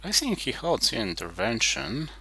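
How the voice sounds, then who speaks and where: A man playing a strategy game and thinking aloud in terse, halting remarks, muttering to himself as he weighs his moves. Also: mid-range voice, huge pitch swings, vocal fry, bright, forceful tone, breathy edge, low-quality recording. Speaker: board game player.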